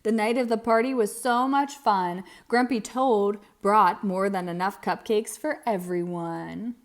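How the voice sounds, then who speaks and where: A woman's voice: medium pitch, a little slow. A woman narrating a children's read-aloud story expressively, with dramatic emphasis.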